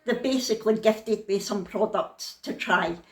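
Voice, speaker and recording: A woman's voice: mid-range voice, unhurried, roomy sound. A woman with a thick Scottish accent, chatting frankly and down to earth in a casual vlog. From Scotland. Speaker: vlogger.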